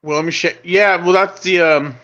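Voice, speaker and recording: Man in his thirties, casual co-host, bright voice, wanders and corrects himself, lo-fi audio. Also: sits high, normal speed. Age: 30s. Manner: rambling, self-correcting, excitable. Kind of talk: casual chat.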